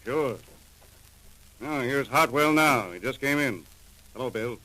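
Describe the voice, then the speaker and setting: Gruff american customs chief, old radio crime show, bright forceful voice, clipped and slangy. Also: male voice, medium pitch, unhurried, poor audio. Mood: brisk, harried. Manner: clipped, slangy, businesslike delivery. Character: brisk, seasoned customs chief, gruff and dogged. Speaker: actor in a radio drama. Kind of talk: 1940s radio crime drama acting. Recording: old 1940s radio recording.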